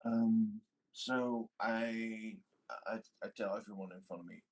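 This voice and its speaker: A tabletop player with a husky, mid-pitched voice chats casually. The delivery is blunt and joking. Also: steady tone, slow pace, rough recording. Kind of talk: casual tabletop game chat.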